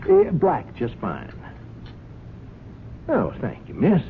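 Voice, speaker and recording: Courteous folksy visitor, hugely swinging pitch, radio mystery, noisy old recording. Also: male voice, wildly swooping pitch, takes it slowly, phone-quality sound, full character voice. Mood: friendly, polite. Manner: folksy, obliging. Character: folksy, polite male visitor. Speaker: actor in a radio mystery drama. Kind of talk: 1970s radio drama acting.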